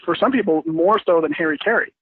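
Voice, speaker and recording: An American man on a thin phone line, giving his take as a sports podcast guest in a halting, conversational way. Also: a little high, husky. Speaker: podcast guest, former radio program director. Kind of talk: casual sports discussion.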